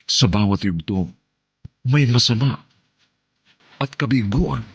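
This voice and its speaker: A man's voice: animated, creaky, breathy edge, no echo. A man reciting a dramatic poetic introduction on radio. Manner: slow, dramatic, deep. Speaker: announcer. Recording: music bed behind.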